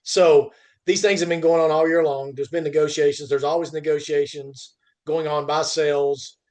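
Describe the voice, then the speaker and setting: Male podcast host, slightly high register. Unscripted trade talk, stumbling restarts, emphatic delivery. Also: little pitch movement, a little mellow. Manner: halting, repeats phrase starts; emphatic, asks and answers own questions. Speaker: podcast host and freight industry commentator. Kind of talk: unscripted industry discussion.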